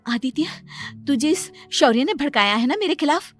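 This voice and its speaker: A woman acts out a selfish, cruel stepmother from a cartoon morality tale. The voice climbs high as she scolds and orders people about with sharp scorn. Faint music plays underneath. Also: lively intonation, normal speed. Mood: indignant, coaxing. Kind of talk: animated moral story dialogue.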